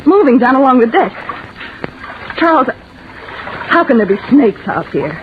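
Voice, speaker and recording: Brazen, sardonic mistress, swooping voice. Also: female voice, sits high, very animated, moderate pace. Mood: frightened. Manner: mocking, sarcastic, theatrical. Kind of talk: 1950s radio drama acting. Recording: muffled, noisy vintage radio recording.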